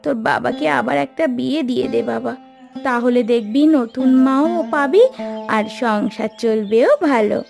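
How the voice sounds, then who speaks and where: Woman as a gravelly, meddling village aunt. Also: a little high, moderate pace. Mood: coaxing. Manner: coaxing, busybody. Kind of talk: Bengali cartoon dubbing. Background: loud background music.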